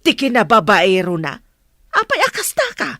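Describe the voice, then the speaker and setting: A woman's voice: on the high side, huge pitch swings, normal speed, a bit bright, dry recording, clean studio sound, exaggerated voice. A woman playing an indignant, disapproving middle-aged Filipino mother in a radio serial, scolding and exasperated. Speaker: radio drama actor.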